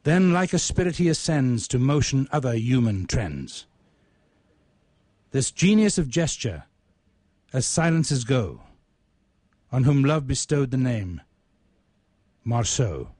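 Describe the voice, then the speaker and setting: A man's voice: mid-range voice, sing-song, takes it slowly, pauses often, a little mellow, dry recording, studio quality. A man co-hosting a radio theatre show as a poet, with a theatrical streak in how he speaks. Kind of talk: casual reminiscing and dramatic poetry recital.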